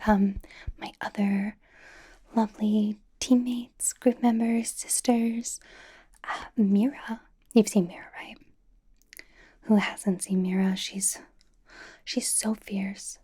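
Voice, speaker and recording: A woman playing a bubbly, nervous young K-pop idol in an ASMR roleplay, whispering softly in a chatty, rambling, reassuring way. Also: medium pitch, steady tone, slow pace. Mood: playfully gushing. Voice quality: soft, breathy whisper.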